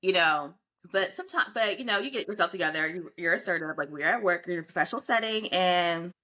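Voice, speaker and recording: A woman's voice: lowish voice, expressive, fast talker, pushed and bright. A young adult woman co-hosting a podcast, chatting in an upbeat way with many "you know" fillers. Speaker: podcast co-host. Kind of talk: casual chat.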